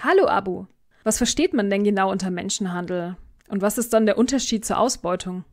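Woman hosting a podcast, medium pitch. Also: expressive, fairly quick, music in the background. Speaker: podcast host. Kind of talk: scripted podcast moderation, interviewing.

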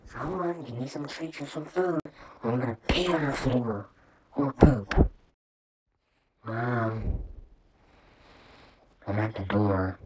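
A man voicing a needy, begging pet dog in a home-made comedy, husky and nagging through a pitch-lowering voice changer. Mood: hungry, demanding. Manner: pleading, nagging, repetitive.

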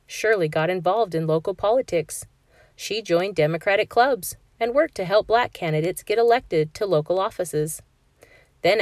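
Brief: woman narrator, lowish voice, dry close mic, calm clear classroom read-aloud. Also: no room sound. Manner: clear, steady storytelling for kids. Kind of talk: children's picture book read-aloud.

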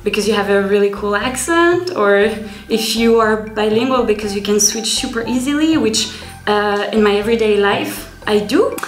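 A woman's voice: medium pitch, lively intonation, normal speed. Woman in an echoey room. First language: French. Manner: musing, unsure. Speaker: interviewee. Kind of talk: casual interview answers. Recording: echoey room, faint music.

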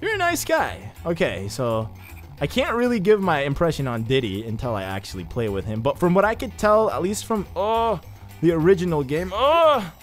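A young man giving casual, excitable let's-play commentary over his gameplay, chatting with jokey asides. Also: fairly high pitch, moderate pace, soft background music. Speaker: gaming YouTuber.

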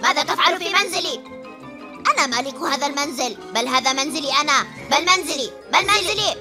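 A woman voicing an excitable young boy in a dubbed cartoon, brash and quick to shout. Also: high voice. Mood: indignant. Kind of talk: Arabic cartoon dubbing. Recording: studio dub over loud music.

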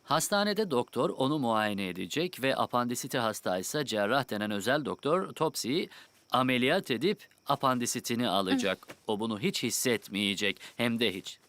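A man voicing a calm, kindly father in a children's cartoon dub. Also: mid-range voice, normal speed. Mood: reassuring.

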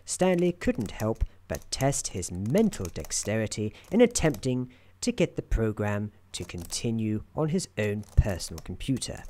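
Soft-spoken man narrating with lively intonation. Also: on the low side. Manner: dryly wry, deliberate storybook narrator addressing his character. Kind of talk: soft-spoken ASMR roleplay, scripted narration. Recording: keyboard typing and clicking noise. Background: keyboard typing, clicks.

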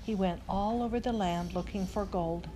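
A woman's voice: medium pitch, normal speed. An older woman reading a children's storybook aloud as a grandmother, expressively, with exclaimed asides. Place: large indoor lodge room with fireplace. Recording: clearly noisy room, ambient sound.

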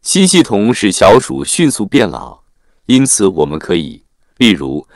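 A man narrating a written article in a formal, measured read-aloud manner. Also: lowish voice, big pitch swings, vocal fry, no echo, clean studio sound.

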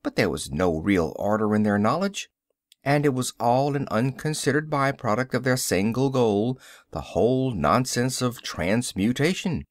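A man's voice: medium pitch, animated, normal speed, no room sound, studio quality, natural voice. A man voicing an adult male social scientist who lectures with a confident, explanatory cadence in an audiobook. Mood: lecturing. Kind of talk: audiobook character reading.

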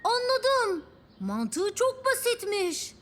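A woman's voice: very high voice, sing-song, drawn-out words, a bit bright, full character voice. A woman voicing a cheeky, lazy little boy in a children's cartoon, cocky and playful. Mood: cheerful.

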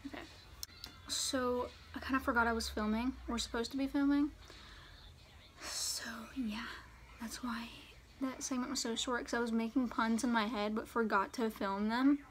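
A teenage girl vlogging in a casual, jokey way, her voice a little raspy from short sleep, filmed on a phone at arm's length in a parked car. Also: on the high side, steady tone, moderate pace, a little hiss or hum. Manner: chatty, jokey, lots of "like" and "literally". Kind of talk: casual vlog chat. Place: parked car on a rainy day. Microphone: phone held at arm's length.

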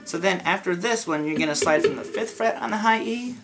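Laid-back man with a sore throat. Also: sits high. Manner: laid-back, talks slowly and explains step by step. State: sore throat from being sick. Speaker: guitar teacher. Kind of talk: casual guitar lesson. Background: his own guitar playing.

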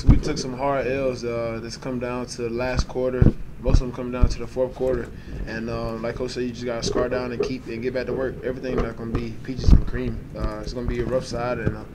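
A young man in his twenties, a college quarterback answering post-game press questions in a halting, soft-spoken way with many fillers. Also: mid-range voice, level tone, very noisy. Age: 20s. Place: press conference room.

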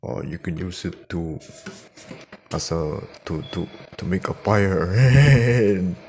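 Low-pitched man, gravelly fry, halting and repetitive, casual improvised chat, noisy recording. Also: expressive, darkish tone. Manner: halting, repeats words. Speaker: prank call video creator, host.

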